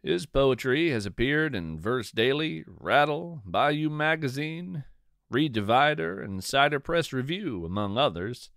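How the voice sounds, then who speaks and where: A man reads literary narration in a measured, deliberate way. His pace is slow, and his pitch moves with lively expression. The recording is dry, with very little room sound around the voice. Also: no room sound. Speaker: podcast host and narrator. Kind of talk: scripted narration, poetry reading and storytelling.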